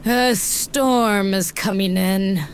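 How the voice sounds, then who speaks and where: An actress playing a proud young female shaman of a frozen tribe in a fantasy audio drama, measured and terse. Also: medium pitch, slight background noise. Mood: guarded.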